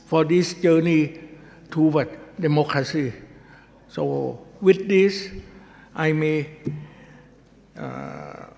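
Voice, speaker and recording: A man with a Thai accent moderating a conference, speaking slowly and deliberately with halting repeats. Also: on the high side, in a large hall. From Thailand. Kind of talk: formal conference remarks. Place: large conference hall.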